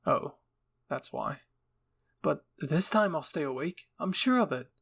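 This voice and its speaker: A man's voice: fairly high pitch, animated, unhurried, creaky, fairly bright tone, husky, full character voice. A man voicing the inner thoughts of a timid, earnest young woman in an audio fan fiction story. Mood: worried, eager. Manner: earnest, eager, self-questioning.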